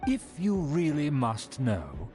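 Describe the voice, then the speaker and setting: A man playing a haughty, self-important nobleman in a video game, speaking in a pompous, formal, measured manner. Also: a little high, huge pitch swings, darkish tone, exaggerated voice. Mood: indignant. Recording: game music behind voice.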